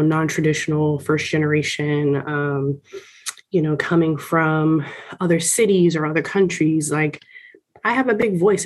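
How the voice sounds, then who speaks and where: A woman from Miami speaking in a conversational interview, explaining things from experience in an articulate, emphatic, self-assured way. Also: sits low. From Miami, United States. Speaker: senior college administrator being interviewed.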